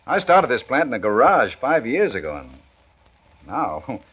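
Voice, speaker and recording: An actor playing a brisk, skeptical, proud male factory manager with a blunt businesslike manner in a 1940s radio drama. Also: medium pitch, huge pitch swings, husky, full character voice. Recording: muffled old 1940s radio recording.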